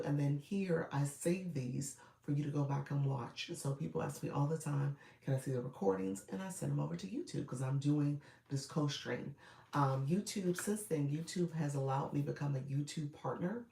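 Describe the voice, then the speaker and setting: A woman coaching viewers on live streaming in a casual, chatty way, congested from a cold with a froggy voice, often clearing her throat and using fillers. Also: low voice, mellow. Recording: roomy echo.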